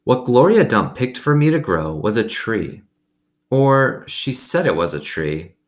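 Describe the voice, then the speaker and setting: A man's voice: mid-range voice, big pitch swings, measured pace. A man reading a storybook aloud expressively as host, with simple, conversational asides.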